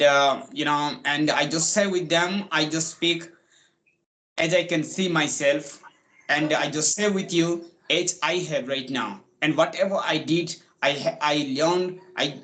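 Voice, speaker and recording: Man, slightly high voice, casual talk, many fillers, english. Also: fairly bright tone. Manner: halting, many fillers and restarts. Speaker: English coaching center owner. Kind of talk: casual conversation. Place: village.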